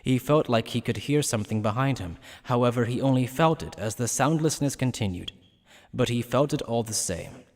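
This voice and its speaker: A man's voice: medium pitch, normal speed. Male story narrator in long reverb. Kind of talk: dramatic scripted story narration.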